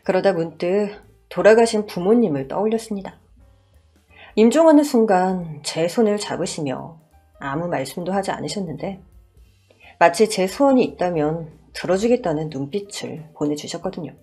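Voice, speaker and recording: A woman's voice: fairly low pitch, expressive, moderate pace, dark tone, breathy edge, soft background music. A woman narrating a dramatized first-person story for a radio-style story channel.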